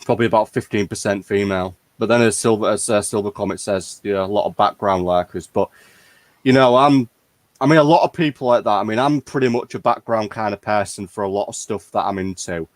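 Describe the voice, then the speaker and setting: A man's voice: fairly low pitch, brisk, dry recording. A man hosting a fan community chat in a casual, conversational way.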